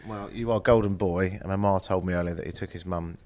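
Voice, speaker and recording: Deep-voiced, stuffed-up male interviewer. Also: steady tone, fast talker, darkish tone. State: stuffed-up nose from a cold. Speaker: podcast host, interviewer. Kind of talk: casual interview chat.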